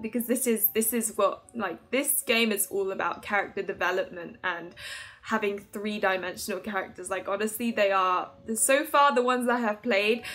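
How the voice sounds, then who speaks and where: A woman in her twenties giving a casual, chatty game review to camera in an animated, giggly manner. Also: medium pitch. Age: 20s. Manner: animated, giggly, hand-gesturing. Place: small furnished room at home, indoors. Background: music under the voice.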